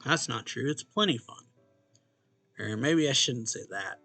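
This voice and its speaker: A man's voice: medium pitch, lively intonation, unhurried, vocal fry, sharp tone, no room sound. A man reading a visual novel's narration and dialogue aloud expressively, as a let's play host. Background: game music.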